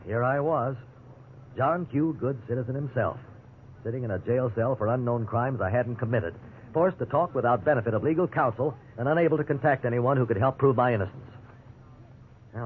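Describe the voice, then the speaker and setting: Old tinny radio sound, male actor as indignant everyman, mid-pitched voice, earnest, wronged, 1950s drama delivery. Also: brisk, slight background noise, natural voice. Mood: rueful narrating. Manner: earnest, aggrieved. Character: indignant, wrongly jailed ordinary man telling his story. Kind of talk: 1950s radio drama acting with first-person narration.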